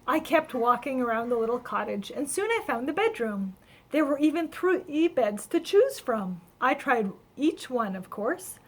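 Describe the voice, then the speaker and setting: Casting: woman to play a little storybook snowman, cheerful and naive, high voice with a slight rasp, chatty and wide-eyed. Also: very animated, measured pace, exaggerated voice, low-quality recording. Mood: cozy, content. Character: cheerful, naive, friendly little male snowman telling his adventure. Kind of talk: children's storybook read-aloud.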